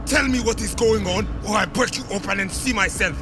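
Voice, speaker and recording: A man's voice: high-pitched, fairly quick. An actor playing a hot-tempered, threatening male gang enforcer with a Haitian Creole accent and blunt, clipped speech in a video game. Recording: music behind the voice.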